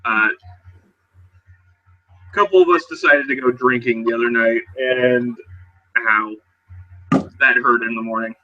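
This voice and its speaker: Bright-voiced man improvising a tabletop role-play. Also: mid-range voice, fairly even intonation, lo-fi sound. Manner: halting, conversational narration. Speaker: role-playing game player. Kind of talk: narrating and improvising in character.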